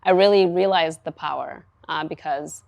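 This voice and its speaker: A woman from Kenya telling a personal story on a panel, in a gentle storytelling manner. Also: deep voice, normal speed, gravelly, no echo. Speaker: panelist, business founder.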